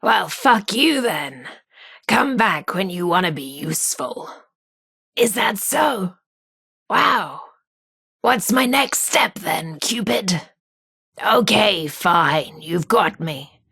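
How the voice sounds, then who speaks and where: Husky, shy radio DJ. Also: female voice, medium pitch, expressive, slow pace, pauses often, sharp tone, no echo, studio quality, exaggerated voice. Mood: dismissive, then surprised, eager. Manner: informal, slangy, hesitant about feelings. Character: shy, lovestruck, sassy woman radio DJ. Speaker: voice actor in a video game. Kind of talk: video game character dialogue.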